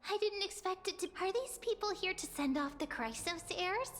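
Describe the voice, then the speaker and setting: A woman's voice: extremely high pitch, animated, moderate pace, fairly bright tone, in a large hall, a little hiss or hum. An actress playing a small, perky young female companion in a video game, playful and chatty. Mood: playful, curious. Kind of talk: scripted video game acting.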